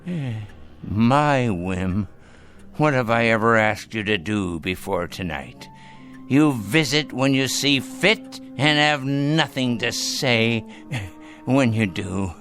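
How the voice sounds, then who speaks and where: An actor playing a bitter, scornful old dying patriarch in a radio drama, speaking in a theatrical, deliberate way. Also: male voice, mid-range voice, lively intonation, fairly bright tone, faint music behind. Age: older. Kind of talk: dramatic radio play acting.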